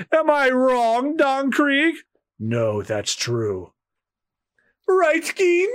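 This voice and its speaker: A man's voice: very high voice, a bit bright, pitched above the performer's own voice, full character voice. A man voicing a boastful male pirate who taunts in a pompous way while reading a comic aloud.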